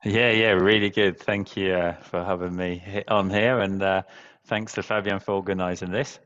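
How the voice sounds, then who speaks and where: A man's voice: sits low, flat delivery, normal speed, a bit bright, smooth, muffled recording. A man in his thirties on a headset mic in a video call, speaking as a guest on a webinar panel with frequent fillers and restarts. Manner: fluent, many restarts and fillers, earnest. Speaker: relocation business founder, panel guest. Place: indoors, virtual background.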